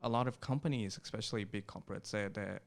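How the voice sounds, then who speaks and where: Young Thai guest, CEO type; gravelly yet resonant, lowish voice, stops and restarts often. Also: male voice. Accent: Thai with a slight Australian trace. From Thailand. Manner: halting, many fillers and restarts. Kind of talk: casual interview chat.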